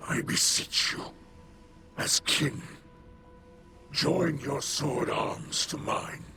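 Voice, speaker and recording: Breathy, solemn old alien leader. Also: male voice, medium pitch, expressive, heavily acted. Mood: resolute, solemn. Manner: slow, formal, oratorical. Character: weary, solemn old male alien leader, noble and grave. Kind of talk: dramatic video game cutscene acting. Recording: loud music under the voice.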